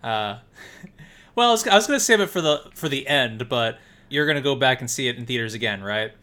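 Bright-voiced man hosting a chat. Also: mid-range voice, animated, brisk. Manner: hesitant, asks questions with fillers. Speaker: podcast host. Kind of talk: casual interview questions.

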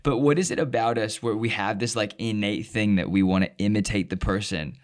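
A young man hosting a podcast, talking in a relaxed, rambling way with lots of fillers. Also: lowish voice, brisk. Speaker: podcast host. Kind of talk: casual conversation.

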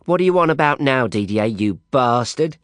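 A man's voice: medium pitch, expressive, moderate pace, a bit bright, dry recording, studio quality, voice pushed up, natural voice. A man voicing a bold, teasing young woman with a South London accent, speaking in an ironic, cheeky way.

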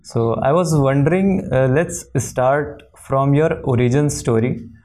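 A man's voice: mid-range voice, measured pace, a little mellow. A man hosting a podcast, introducing his guest and asking questions in an admiring way with some fillers. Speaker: podcast host. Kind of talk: interview host introduction and questions.

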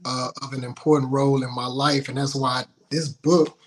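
A man telling personal stories casually as an interview guest, in an informal, halting, colloquial way. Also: mid-range voice, flat delivery. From Houston, Texas, United States.

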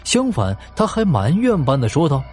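Expressive male mystery narrator. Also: a little high, normal speed, soft background music. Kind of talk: dramatic scripted narration of a mystery story.

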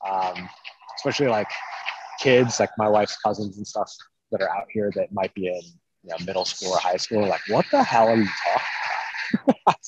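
A man's voice: lowish voice, animated, moderate pace, pauses often, dull audio, noisy background. A man from central Iowa, a private chef and podcast guest, telling his story in an easygoing, casual way. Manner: easygoing storyteller, many ums and likes. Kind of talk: casual interview chat.

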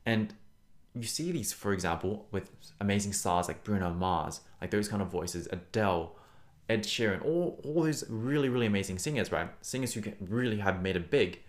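Low-voiced man teaching singing, encouraging. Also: animated, normal speed. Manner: encouraging, emphatic, reassuring. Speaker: voice teacher and podcast host. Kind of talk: conversational singing lesson to listeners.

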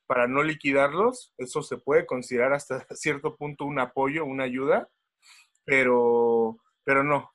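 Halting Mexican man, casual chat. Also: medium pitch, a bit bright. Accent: Mexican Spanish. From Mexico City. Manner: halting, many fillers and restarts. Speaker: guest. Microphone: video call.

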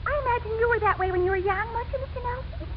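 A starry-eyed girlish romantic in an old radio comedy, with an extremely high voice. She swoons and gushes dramatically over every word. The recording is muffled, with loud noise. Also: very fast. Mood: rapturous. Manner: breathless, swooning, dramatic gushing. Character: gushing, starry-eyed young woman infatuated with irresponsible romantic men. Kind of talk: 1940s radio sitcom acting.

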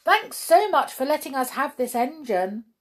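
A woman storyteller plays a little male brownie from a children's tale. The voice is high and very animated, eager and cheerfully excitable. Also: moderate pace. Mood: gleeful. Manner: exclaiming, eager.

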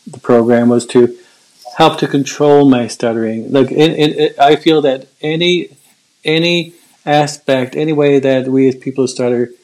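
A man's voice: medium pitch, a little mellow, low-quality recording. An older man who stutters, with repeated words and restarts, speaking in an earnest, reflective way in a casual conversation. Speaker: guest.

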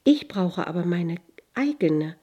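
An earnest little girl asks her questions, as a woman narrator puts her on. The voice stays low and husky, sincere rather than childish. A quiet storybook figure. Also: animated, slow, lo-fi sound, exaggerated voice. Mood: pleading.

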